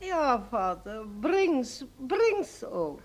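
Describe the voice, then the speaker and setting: An actress playing a frail, meek old weaver's wife in a radio play, halting, with dialect colouring. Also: female voice, sits high, very animated, heavily acted. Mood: meek, tender. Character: frail, blind old mother, meek and adoring. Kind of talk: German radio play acting.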